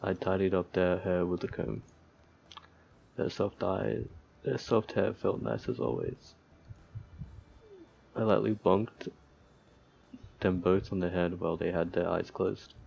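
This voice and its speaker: Gravelly low-voiced man, casual gaming commentary. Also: a little slow, long pauses, darkish tone, muffled recording. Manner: mock-despairing asides, stumbles while reading. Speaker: gaming video host. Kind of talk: casual gameplay commentary, reading game text aloud.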